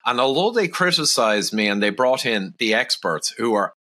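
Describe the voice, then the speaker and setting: A middle-aged man with an Irish accent, a podcast guest who speaks in a calm, measured, reasonable way. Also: medium pitch. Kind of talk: casual podcast conversation.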